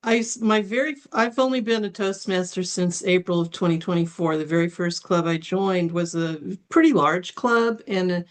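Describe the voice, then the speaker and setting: A woman's voice: fairly low pitch, normal speed, hardly any pauses. Rambling woman asking on a call. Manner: rambling, self-correcting. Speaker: audience member. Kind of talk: casual question on a call.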